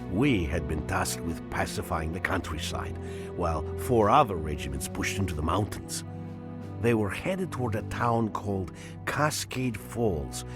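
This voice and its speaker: Reflective soviet soldier, very low somber voice, game narration over music. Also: male voice. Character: weary, reflective Soviet soldier recalling the war.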